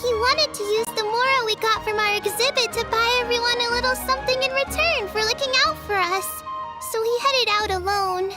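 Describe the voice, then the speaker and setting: A woman's voice: extremely high pitch, a little fast, over loud music. A woman voicing a small, level-headed young girl who takes charge in a video game. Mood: concerned. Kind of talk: animated video game voice acting.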